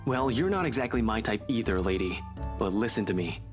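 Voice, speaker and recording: A man voicing a brisk, earnest police detective inspector in a visual novel game. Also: lowish voice, animated, faint music behind, close to an everyday voice. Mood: stern warning.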